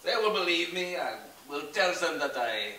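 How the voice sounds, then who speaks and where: A man's voice: on the high side, lively intonation, moderate pace, bright, forceful tone, a little hiss or hum. A man playing a middle-aged con man who fakes a French accent and blackmails with oily menace, recorded far from the mic in an echoey room. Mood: menacing. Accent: fake French.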